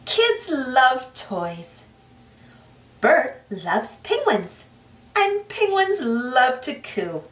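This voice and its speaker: A woman reading a children's storybook aloud in an expressive, singsong way. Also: sits high, extremely slow. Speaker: storybook reader, channel host. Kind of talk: children's read-aloud narration. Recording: roomy, distant mic, soft music behind.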